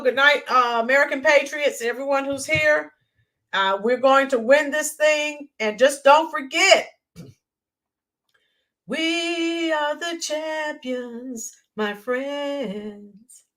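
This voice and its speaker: A woman hosting a live stream, chatting in a rambling way with viewers and greeting them by name, her voice hoarse and worn. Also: high-pitched, slow, a bit bright. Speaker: YouTube channel host. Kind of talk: live-stream chat sign-off.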